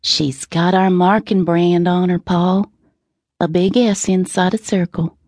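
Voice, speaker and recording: A woman voicing a seven-year-old country girl in an audiobook, with a sweet, soft Southern drawl and rustic dialect. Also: fairly low pitch, steady tone, unhurried, no room sound, studio quality. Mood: urgent, innocent. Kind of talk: audiobook character reading.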